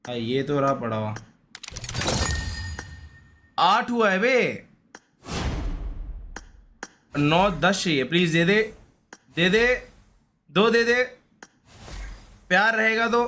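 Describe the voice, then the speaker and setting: A man's voice: high-pitched, lively intonation, slow, long pauses, pushed and bright, a little hiss or hum. A man giving casual, slangy live gaming commentary, often repeating short pleading phrases. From India. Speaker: gaming streamer.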